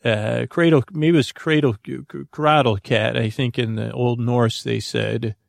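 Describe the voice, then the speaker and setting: A man hosting a sleep podcast, rambling in a halting, meandering, goofy way with many tangents and false starts. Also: medium pitch, fairly even intonation, creaky, dry recording, clean studio sound. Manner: goofy, whimsical. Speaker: podcast host.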